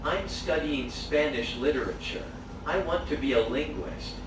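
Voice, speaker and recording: A man's voice: mid-range voice, normal speed, natural voice. Friendly male student voiced by a man, textbook delivery, heard in a very roomy space from a distance. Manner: even, textbook phrasing. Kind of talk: scripted English dialogue video. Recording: roomy, distant, noisy playback.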